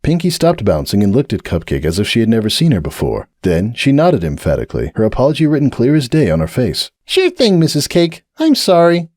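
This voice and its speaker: A man narrating a dramatic reading of fan fiction in an expressive storytelling manner. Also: lowish voice, fast talker, few pauses, dry recording, clean studio sound. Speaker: narrator, reader.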